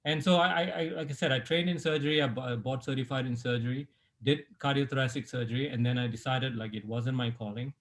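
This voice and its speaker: A middle-aged man from Malaysia, a sports medicine doctor, recounting his life story as an interview guest in a relaxed way with many fillers and restarts. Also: mid-range voice, fast talker.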